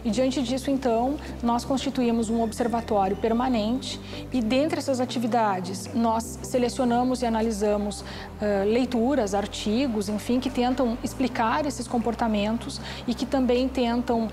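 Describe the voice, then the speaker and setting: Brazilian female academic, forties, formal interview explanation, slightly high voice, music clearly underneath. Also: fairly even intonation, moderate pace. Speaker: academic researcher. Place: indoors, small office. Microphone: lapel.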